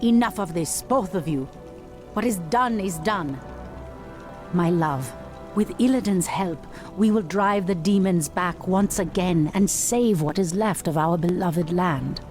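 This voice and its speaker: An actress playing a proud, resolute night elf priestess, determined and commanding, in a video game cutscene. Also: female voice, on the low side, unhurried, a little mellow, natural voice. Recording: music behind.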